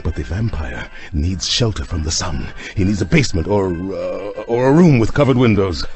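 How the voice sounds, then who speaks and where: An actor playing an obsessed vampire-hunting doctor in an audio drama, grave and urgent with melodramatic delivery. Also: male voice, sits low, animated, moderate pace, a little mellow. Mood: intent, explaining. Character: obsessed intense male vampire hunter doctor.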